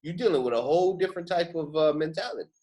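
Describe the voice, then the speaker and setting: A man's voice: on the high side, fast talker, dark tone. A man in casual, opinionated chat, speaking emphatically in slang and driving points home by repetition.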